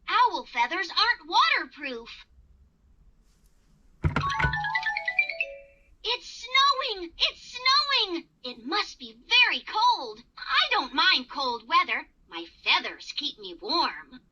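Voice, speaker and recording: A performer voicing a friendly young owl in a children's cartoon, speaking in a playful, expressive sing-song way directly to little children. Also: very high-pitched, slow, heavily acted. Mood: curious, playful. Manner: exaggerated, expressive, encouraging, addressing the child directly. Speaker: children's educational cartoon character. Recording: dull lo-fi sound, music behind.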